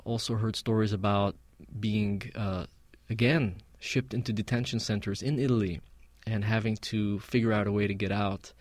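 A man of Palestinian background, a guest in an interview, telling stories in a measured, reflective way with frequent fillers. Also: on the low side, steady tone, no echo. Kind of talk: conversational interview.

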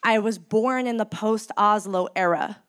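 A woman in her thirties, grieving, delivers an impassioned speech as a community organizer. She speaks in a measured, emphatic way and leans on deliberate pauses. Her voice sits at an ordinary middle pitch. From San Francisco Bay Area, United States. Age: 30s. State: in mourning.

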